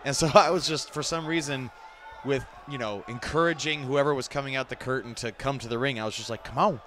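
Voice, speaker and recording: A man's voice: medium pitch, fairly quick, fairly bright tone. A man chatting casually and self-deprecatingly as a voice actor reacting to his own video game scenes. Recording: noisy, game audio underneath. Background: video game cutscene audio.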